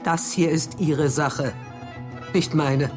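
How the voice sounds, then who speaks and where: A woman's voice: low-pitched, steady tone, slow pace, over music. An actress in a radio drama playing a wary young woman agent who questions and defies. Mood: defiant.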